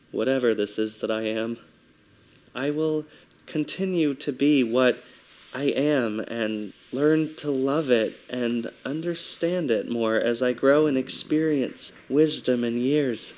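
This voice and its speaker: Male performer, mid-range pitch, soft feminine-leaning voice; introspective, hushed confessional monologue.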